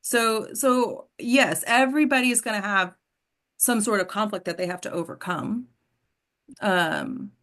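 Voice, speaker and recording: A woman's voice: medium pitch, a bit bright. Woman coaching an informal acting workshop. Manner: instructive, checks in with "right?". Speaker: host and acting coach leading a table read discussion.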